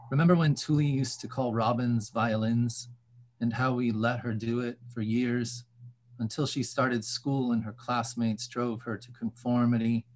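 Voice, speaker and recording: A man's voice: mid-range voice, rough recording. Man reading lyrically over faint ambient noise. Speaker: reader.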